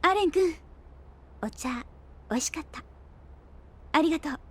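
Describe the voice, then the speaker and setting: An actress playing a thoughtful young woman scientist in an audio drama, gentle, reflective and politely spoken. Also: high voice, animated, moderate pace. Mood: polite, friendly. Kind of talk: Japanese audio drama acting. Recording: soft music bed behind.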